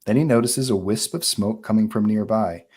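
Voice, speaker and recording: A man with a fairly low voice reads screenplay action and scene headings aloud. He narrates for a live table read. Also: moderate pace, darkish tone, slightly rough. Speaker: narrator reading stage directions.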